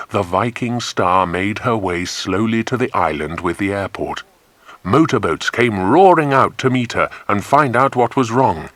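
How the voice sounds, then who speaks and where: A man reads a children's adventure story as an audiobook narrator. His voice is on the low side and expressive, with animated intonation, recorded dry and close. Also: dry recording.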